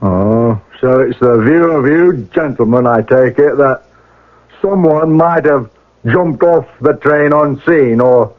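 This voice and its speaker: Inquest coroner for radio mystery, male actor: mid-pitched man, courteous, formal, questioning, on dull vintage broadcast audio. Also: slow pace, close to an everyday voice. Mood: formal, probing. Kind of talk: radio mystery drama acting. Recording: lo-fi old radio broadcast recording.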